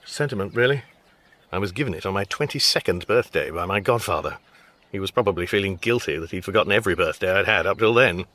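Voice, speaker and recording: A man's voice: deep voice, lively intonation, fast, fairly bright tone. An actor playing a fussy, exasperated middle-aged father with an English accent, dry and pedantic, in a radio comedy drama. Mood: wry.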